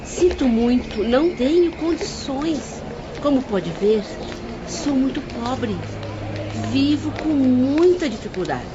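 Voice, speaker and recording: A woman's voice: sits high, expressive, takes it slowly. A woman playing a poor, kindly washerwoman in an audio drama, deferential and tender. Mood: meek, surprised. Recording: dull lo-fi audio, background noise.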